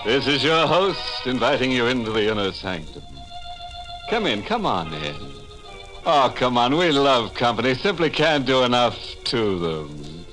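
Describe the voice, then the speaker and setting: A man's voice: mid-range voice, big pitch swings, a little slow, a bit bright, low-quality recording. A man acting as a gleefully sinister host of a 1940s radio horror show, theatrical and mock-jovial. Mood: ghoulish glee. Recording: old radio recording with music.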